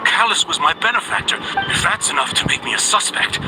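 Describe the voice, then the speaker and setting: An actor playing an affable, evasive gentleman on trial in a video game, hesitant and placating. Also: high voice, expressive, quick pace, noisy background. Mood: nervous disbelief. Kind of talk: scripted video game dialogue. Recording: loud music behind the voice.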